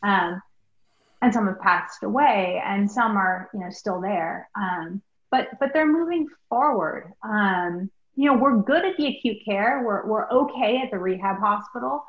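A middle-aged American woman, a guest doctor answering interview questions in a thoughtful, halting, conversational way. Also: medium pitch. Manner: thoughtful, halting, peppered with like and you know. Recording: roomy, dull lo-fi remote recording.

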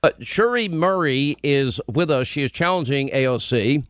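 A man's voice: medium pitch, moderate pace, smooth, no echo, studio quality. A man hosting a talk radio show, talking in a mocking, incredulous way. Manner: mocking, incredulous, animated when quoting. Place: radio studio.

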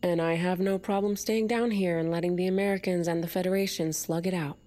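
An actress as defiant underground rebel leader, low-voiced. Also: female voice, fairly even intonation, fairly quick, dark tone, close to an everyday voice. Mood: scornful, hard. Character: defiant woman leading an underground separatist movement, cynical idealist. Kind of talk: audio drama acting.

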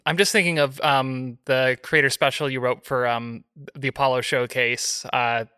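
A man's voice: mid-range voice, fairly even intonation, normal speed, sharp tone, clean tone, no room sound, studio quality. A man in a casual podcast conversation between writers, speaking in a thoughtful, tentative and agreeable way. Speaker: podcast panel participant, writer.

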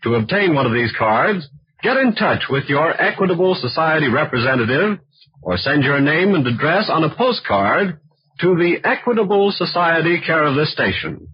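High, husky vintage radio pitchman. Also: big pitch swings, moderate pace. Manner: emphatic, persuasive. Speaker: announcer and insurance pitchman. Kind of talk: scripted 1940s radio advertising. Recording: muffled vintage radio recording.

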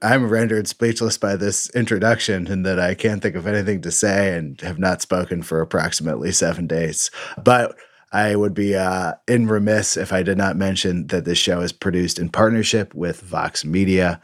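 A man with a very low voice co-hosting a podcast, trading dry jokes in casual banter. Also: fairly even intonation, moderate pace, no echo. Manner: dry, joking. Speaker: podcast co-host.

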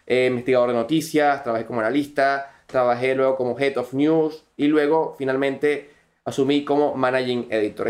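A man's voice: a little high, darkish tone. A young man with a Venezuelan accent, a podcast guest telling his story earnestly and fluently, with frequent restarts. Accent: Venezuelan Spanish. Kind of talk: podcast interview.

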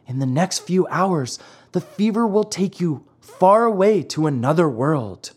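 A narrator voicing a gentle, motherly fairy in a children's storybook, loving, patient and coaxing but firm. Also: medium pitch, animated, measured pace, slightly rough, pitched above the performer's own voice. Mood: grave warning.

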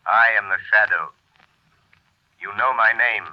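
An actor playing a calm, commanding invisible avenger in measured, grave 1930s radio drama style, through a telephone-like filter. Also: male voice, on the low side. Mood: ominous, assured. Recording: muffled vintage 1930s radio recording.

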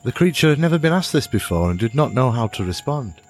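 A man's voice: mid-range voice, big pitch swings, quick pace, a little mellow. A man acting as a storyteller in an audio drama, narrating a fable in a dramatic storybook style. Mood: measured storytelling. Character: man telling a fable to a youngster. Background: music.

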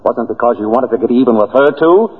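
An actor playing a shrewd detective and husband in 1940s radio style. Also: male voice, medium pitch, lively intonation, quick pace, slightly rough. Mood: accusing. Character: shrewd married male detective, probing and wryly affectionate. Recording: dull old radio broadcast recording.

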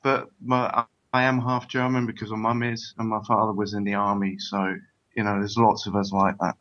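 A man's voice: on the low side, little pitch movement, brisk, a bit bright, lo-fi sound. A man from England, a guest paranormal investigator, chatting in a friendly, hesitant way. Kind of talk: casual interview chat.

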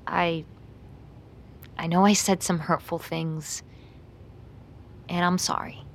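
An actress playing an aloof, sheepish young woman in an audio drama, halting and understated. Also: steady tone, gravelly. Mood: apologetic, cautious. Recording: background noise and rumble.

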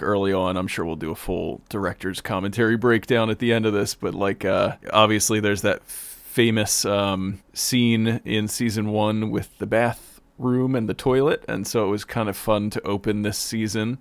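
A man's voice: lowish voice, fairly even intonation, normal speed, dry recording. A man hosting a podcast as its producer, in a casual chatty style. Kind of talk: casual chat.

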